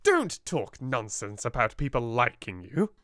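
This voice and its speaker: A man's voice: mid-range voice, very animated, measured pace, a bit bright, no room sound, clean studio sound. A man with a British accent voicing a stern, domineering headmistress in a clipped, commanding way. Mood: harsh. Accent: British English.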